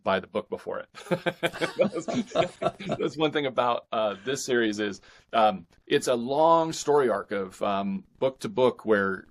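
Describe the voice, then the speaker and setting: A man speaking as a guest author in a conversational interview, haltingly, thinking his answers through as he goes. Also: medium pitch, lively intonation.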